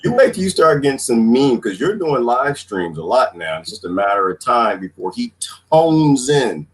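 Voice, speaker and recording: A man's voice: mid-range voice, normal speed. An American man hosting a casual group chat on a livestream. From United States. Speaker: livestream panel host. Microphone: online group call. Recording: steady background noise on livestream call.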